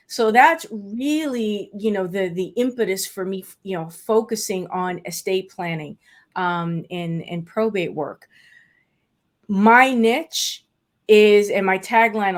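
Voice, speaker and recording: Middle-aged woman, native Spanish speaker; earnest, reflective interview guest, medium pitch, frequent fillers. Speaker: estate planning attorney, guest. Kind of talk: conversational interview answers.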